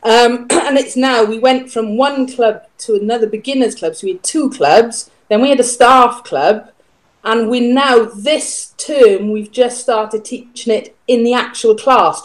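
A woman's voice: a little high, measured pace, low-quality recording. A middle-aged woman with a Welsh accent, a music teacher chatting as a guest on a video call from home. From Wales. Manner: chatty, friendly, explains at length. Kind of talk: casual interview chat. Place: home room, indoors. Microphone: video call webcam.

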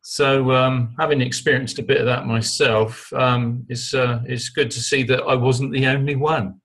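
A man's voice: medium pitch, fairly even intonation, normal speed, a bit bright, husky. An older man with an English accent sharing thoughts on a video call, hesitant with many ums and uhs. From England. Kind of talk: casual group video call.

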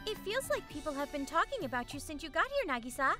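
A woman's voice: sits high, very animated, fast talker, over music. A woman voicing a friendly, chatty schoolgirl who knows the dorm well, in a fan dub. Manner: breezy, chatty.

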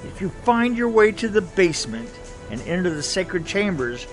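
An actor playing an earnest, cryptic, eccentric inmate in an audio drama, solemn and insistent. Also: male voice, high voice, sing-song, moderate pace, faint music behind.

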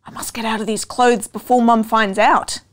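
A woman voicing a mischievous, anxious young schoolboy in a children's storybook, with expressive exclamations. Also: on the high side, normal speed, close to an everyday voice. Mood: panicked. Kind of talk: children's storybook read-aloud.

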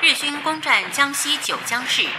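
An actress playing a formal 1930s wartime radio news announcer reading bulletins in a radio drama. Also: female voice, high voice, lively intonation, moderate pace, over loud music. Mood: urgent.

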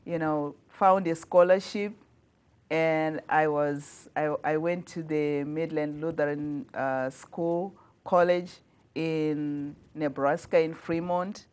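A woman in her forties with a Zimbabwean accent, an artist and studio guest, telling her story in a calm, measured way into a lapel mic. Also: sits low. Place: small TV studio.

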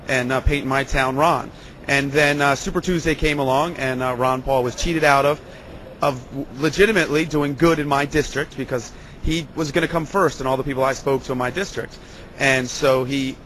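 A middle-aged American man gives a conversational interview as a local activist. His pitch is ordinary for a man. He talks into a handheld mic in a large indoor space, with noticeable ambient noise behind him. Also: a bit bright. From California, US. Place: large concrete backstage area indoors. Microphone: handheld microphone. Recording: clear ambient noise of busy backstage hall.

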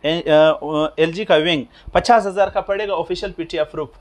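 Higher-voiced man reading out phone prices. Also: steady tone. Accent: Pakistani Urdu. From Pakistan. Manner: brisk, repetitive, many filler pauses. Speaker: phone shop vlogger. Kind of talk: listing prices to camera. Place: small indoor mobile phone shop. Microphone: lapel mic.

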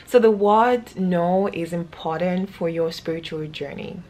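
A woman's voice: on the low side, measured pace, mellow, low-quality recording. A young woman in her twenties from Nigeria, with a Nigerian accent, talking casually and earnestly into a close camera in a small bedroom, rambling with fillers as she reads a devotional. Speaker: vlogger. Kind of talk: casual devotional vlog, praying and reading aloud. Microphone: camera close up at face level.

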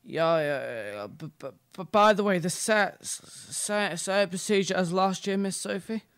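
A man's voice: high voice, a bit bright, clean tone. A young man playing a deferential, drunken butler who stammers and halts, in an amateur radio play. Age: young adult. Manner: slurred, stuttering, halting.

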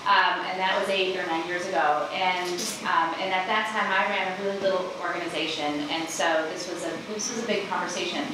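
A woman's voice: on the low side, steady tone, a little fast, hardly any pauses. A middle-aged woman, a nonprofit director, speaking from the audience during a panel question session. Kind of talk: introducing herself and asking a question at a panel Q&A. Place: seated in conference room audience, indoors. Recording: very echoey room, distant mic, noisy ambience.

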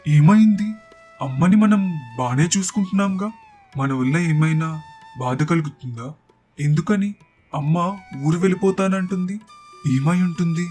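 A man voicing a caring young married son in an animated story, earnest and worried in manner. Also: a little high, unhurried, pauses often, lightly acted. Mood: concerned. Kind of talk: animated moral story dialogue. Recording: faint music bed behind the voice.

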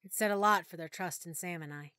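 A woman narrating a first-person audiobook in a soft, emotional storytelling manner. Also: fairly low pitch, quick pace, dry recording, studio quality.

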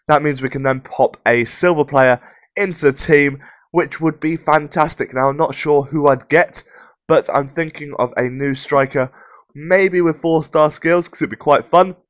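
A young man hosting casual game commentary, his voice croaky and rough from a sore throat, chatty and upbeat. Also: a little high, moderate pace, no echo. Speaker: gaming channel host.

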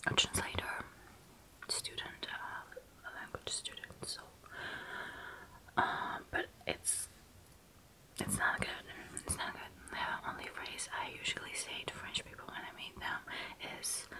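A young woman from Russia with a Russian accent, whispering softly and closely as an ASMR host answering viewer questions, with pauses and fillers. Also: rough recording. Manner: soft whisper, close to the mic, halting with fillers. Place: small dark room indoors.

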